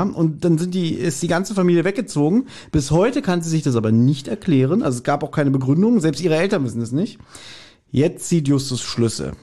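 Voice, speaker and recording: A man's voice: on the high side, darkish tone. A man, a podcast co-host chatting casually as he retells a story and slips into short acted quotes. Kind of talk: casual podcast chat retelling an audio drama episode.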